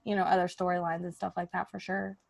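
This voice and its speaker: A woman chatting casually as a podcast organizer, thinking out loud in an informal, tentative way. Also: fairly low pitch, little pitch movement, fast, gravelly. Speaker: podcast host and improv organizer. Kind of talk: casual planning chat.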